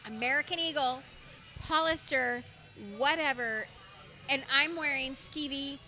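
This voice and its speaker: Candid, chatty mom over music. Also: female voice, high-pitched, unhurried, pushed and bright. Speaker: podcast co-host. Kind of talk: casual chat.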